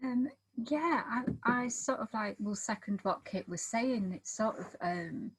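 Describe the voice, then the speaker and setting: A woman's voice: a little high, a little slow, breathy edge, dry recording, rough recording. A woman talking casually as a panel guest, in an informal, loosely phrased way.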